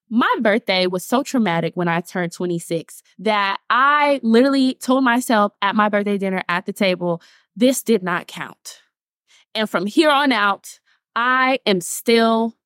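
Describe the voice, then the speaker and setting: A woman's voice: mid-range voice, no room sound, clean studio sound. A woman, talking casually to her audience as host. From Atlanta, Georgia. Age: 20s. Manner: chatty, animated, laughs often, addresses listeners as y'all. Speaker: TikTok creator and podcast host. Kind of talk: casual talk to camera.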